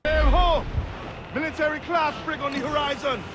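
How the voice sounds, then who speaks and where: Very high-pitched, excitable pirate crewman. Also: moderate pace. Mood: excited, alert. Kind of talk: video game shouted acting. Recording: loud crowd and music behind.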